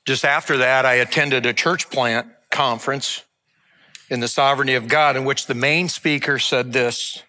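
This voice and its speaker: A man in his sixties, a lead pastor, giving a sermon and announcement in an earnest, measured, heartfelt way. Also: medium pitch, fairly even intonation, pushed and bright. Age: 60s.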